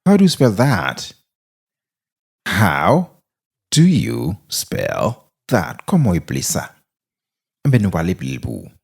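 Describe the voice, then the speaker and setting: Brief: low, gravelly Haitian-accented male teacher, drills phrases word by word. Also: wildly swooping pitch, darkish tone. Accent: Haitian Creole. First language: Haitian Creole. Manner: repeats phrases word by word, very slowly. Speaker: English teacher. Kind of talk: slow drilling of English phrases with Creole explanations.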